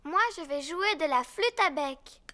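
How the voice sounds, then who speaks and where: A woman's voice: high voice, unhurried, very noisy. A woman voicing a small, earnest child animal pupil in a cartoon, with a childlike way of talking. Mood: cheerful.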